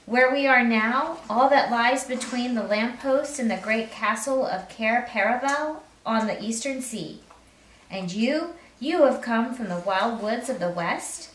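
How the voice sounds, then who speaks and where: A polite, old-fashioned faun played by a woman reading a storybook, gently melancholy and eager to host. The voice sits fairly high and is heard a little far from the mic in an echoing room. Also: measured pace, slight background noise. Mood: wistful, formal. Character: courteous, hospitable, slightly melancholy male faun. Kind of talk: children's storybook read aloud. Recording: roomy echo, distant mic.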